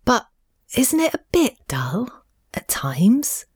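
A woman's voice: a little high, sing-song, takes it slowly, a little mellow, slightly rough, no echo, studio quality, heavily acted. Woman doing a timid, wondering young mole. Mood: nervous. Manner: shy, wondering questions. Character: timid, wide-eyed young male mole.

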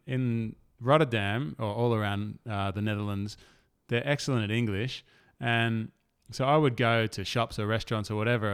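Relaxed low-voiced man hosting a podcast. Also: smooth. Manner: relaxed, chatty, frequent fillers like yeah. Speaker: podcast host. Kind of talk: casual podcast interview.

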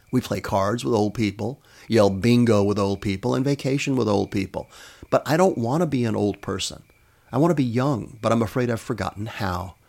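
A man's voice: lowish voice, vocal fry, darkish tone. A man voicing a wistful elderly woman, earnest and hesitant, afraid of growing old, in a storybook reading.

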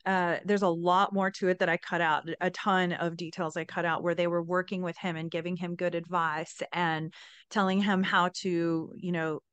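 Smooth, low-voiced woman podcast storyteller. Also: flat delivery, moderate pace, fairly bright tone, no room sound. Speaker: podcast host. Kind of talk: scripted storytelling narration with casual asides.

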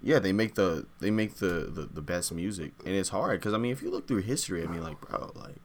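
Animated slangy young man. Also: low-pitched, a little fast. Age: 20s. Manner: slangy, animated, repeats "bro". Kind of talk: casual podcast chat.

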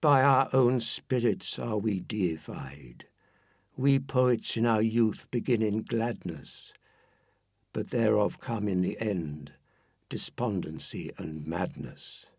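A man reading poetry aloud in a solemn, dramatic style, with measured phrasing and deliberate pauses. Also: lowish voice, husky, no echo, clean studio sound. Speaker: poetry reader.

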